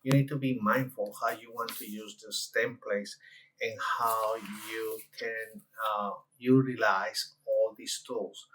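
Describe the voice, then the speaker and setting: Low-voiced man explaining software, hesitant. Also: low-quality recording. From Colombia. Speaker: graphic and interior designer presenting a conference session. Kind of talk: conference presentation, walking through software.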